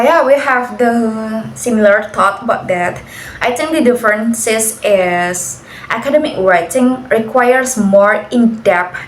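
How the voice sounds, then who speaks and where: A young woman whose first language is Indonesian, speaking English in a relaxed pair interview, hesitant and searching for words, often laughing lightly. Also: medium pitch. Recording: echoey room, faint background noise.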